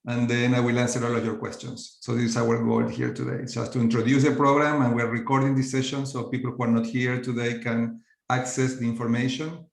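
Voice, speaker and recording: Middle-aged Argentine trainer hosting a virtual orientation, even and level, heard in a roomy echo. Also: male voice, medium pitch, a little fast, creaky. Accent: Argentine Spanish. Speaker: coaching program trainer, host. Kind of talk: online program orientation. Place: virtual meeting.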